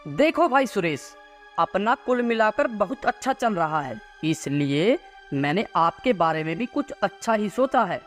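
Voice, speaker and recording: A man playing a trusting husband in a moral story, persuasive and quick to brush worries aside. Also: sits high, big pitch swings, faint music behind. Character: trusting, reassuring husband and small-time property dealer.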